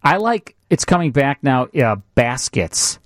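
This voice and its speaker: A man's voice: medium pitch, very animated, measured pace, no room sound. A man talking casually as a radio show co-host in a studio interview. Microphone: close studio microphone.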